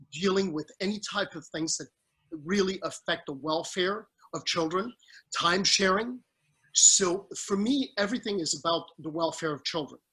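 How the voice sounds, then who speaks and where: A middle-aged man from the Caribbean with a high, bright voice and a husky edge, answering questions over a video call. He talks earnestly, restarting sentences and leaning on fillers as he explains his work. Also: rough recording. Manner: earnest, warm toward family, speaks with restarts and fillers. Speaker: family law judge.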